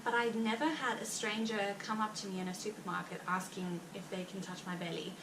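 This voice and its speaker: A woman's voice: medium pitch, fairly even intonation. Chatty Afrikaans-accented woman, roomy distant sound. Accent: South African Afrikaans. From South Africa. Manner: chatty and rambling, with frequent fillers and quick laughs. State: heavily pregnant. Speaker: vlogger. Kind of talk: casual chat to viewers. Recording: roomy, distant mic with background noise.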